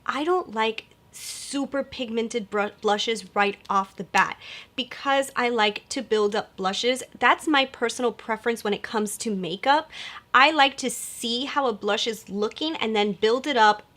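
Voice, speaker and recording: A young woman with a fairly high voice talks straight to her viewers in a bubbly makeup review. She sounds a bit nasal from a cold she is getting over. Her delivery is chatty and gushing, full of asides to her audience. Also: a little slow, fairly bright tone. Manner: chatty and gushing, lots of "you guys". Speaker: beauty vlogger. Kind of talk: upbeat product review vlog.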